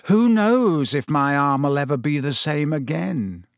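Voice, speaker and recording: A man's voice: on the high side, expressive, a little slow, no room sound, studio quality. A man voicing a smug, malicious schoolboy bully in an audiobook, with a drawling, mock-plaintive manner. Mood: gloating. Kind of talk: audiobook character voice.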